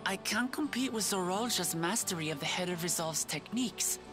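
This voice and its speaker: A woman's voice: on the low side, lively intonation, moderate pace, over music, natural voice. A woman voicing an earnest young lion-woman warrior princess in a video game cutscene. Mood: reflective.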